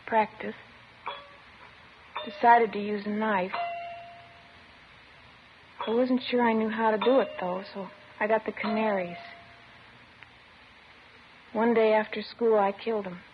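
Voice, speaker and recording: A woman's voice: mid-range voice, steady tone, a little slow, pauses often, natural voice. A woman playing a disturbed teenage girl in a 1950s radio drama, plaintive and childlike, matter-of-fact and wistful. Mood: detached, wistful. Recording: dull, noisy old radio recording.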